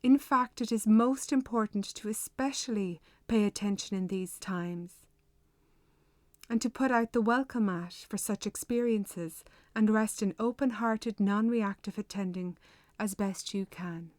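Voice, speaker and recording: A woman leading a guided meditation with measured, unhurried, gently instructive delivery. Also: mid-range voice, no echo, studio quality.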